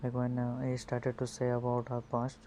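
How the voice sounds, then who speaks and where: A gamer giving casual, halting, musing gameplay commentary in a murky, muffled voice through an earphone mic. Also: mid-range voice, monotone, mellow, faint music behind. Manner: halting, musing, many hums. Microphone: phone earphone mic.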